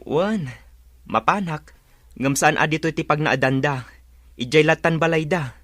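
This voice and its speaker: An impatient young husband in a radio drama. His voice is bright and forceful, recorded dry and close, as he pushes back at the women of his family. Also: a little high, measured pace, no echo. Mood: placating. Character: young husband, impatient, torn between wife and mother. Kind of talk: Ilocano radio drama acting.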